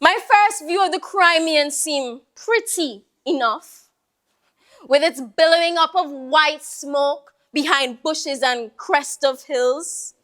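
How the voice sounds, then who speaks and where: A woman's voice: sits high, fairly bright tone. A woman performing a theatrical monologue as a proud, weary old Jamaican Creole woman, lamenting and wistful, full of rhetorical questions and sighs. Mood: haunted, remembering. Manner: slow, lamenting, rhetorical, with sighing exclamations.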